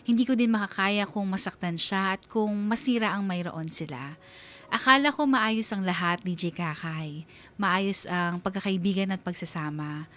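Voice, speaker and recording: A woman's voice: medium pitch, normal speed, fairly bright tone, lightly acted. A woman playing an earnest young woman who narrates her own story in a scripted radio drama. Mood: reflective, troubled. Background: faint music.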